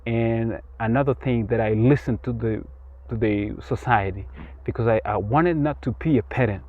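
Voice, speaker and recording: A man's voice: medium pitch, moderate pace, a little mellow, lo-fi sound. A Kenyan man who speaks English with an American-sounding accent, talking earnestly in an interview and often repeating words. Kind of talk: casual interview. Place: outdoors in rural Kenya.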